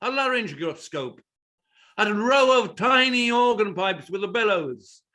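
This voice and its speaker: An actor as a pompous, high-pitched playwright narrator. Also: male voice, animated, bright, forceful tone, full character voice. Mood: measured, precise. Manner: deliberate, declamatory, pompous. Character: pompous, exacting playwright narrating his own play. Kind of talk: theatrical reading of stage directions.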